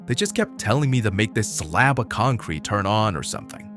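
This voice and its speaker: A man's voice: on the low side, sing-song, fairly quick, music in the background. An actor playing a jaded male prisoner in an audio drama, blunt and dismissive, with a streetwise way of talking. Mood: explaining.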